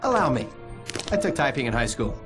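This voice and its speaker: Flippant superhero male actor: easygoing wisecracker, mid-range voice with animated swings. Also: medium pitch, brisk, over music, lightly acted. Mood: wry, flippant. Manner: flippant, casual. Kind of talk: video game drama acting.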